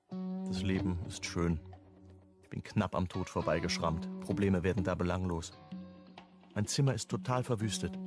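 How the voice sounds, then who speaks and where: An actor playing a calm, reflective man who narrates his own story in a radio play. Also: on the low side, close to an everyday voice. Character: Marco Sentenza, calm reflective male narrator looking back. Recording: guitar music under the voice.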